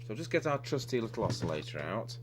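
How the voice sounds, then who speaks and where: Guy with a kinda low voice doing a radio repair demo, bit hesitant, noisy humming recording. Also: sing-song, quick pace. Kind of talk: hands-on technical demonstration, unscripted. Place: indoor radio repair workbench. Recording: clearly noisy recording with electrical hum.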